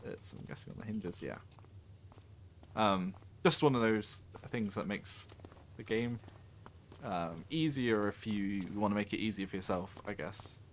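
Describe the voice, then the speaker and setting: A man with a fairly low voice chats easily while playing, laughing often and filling gaps with ums. His talk is loose and friendly, with faint background hiss. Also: unhurried. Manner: chatty, laughing, many fillers. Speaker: game developer. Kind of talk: casual panel chat while playing.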